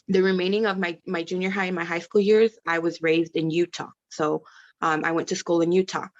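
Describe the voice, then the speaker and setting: A woman's voice: lowish voice, lo-fi sound. A woman in her thirties, from California, talking casually as a parent on a panel, rambling and correcting herself as she goes. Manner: chatty, rambling, self-correcting. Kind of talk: casual video-call talk. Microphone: video call.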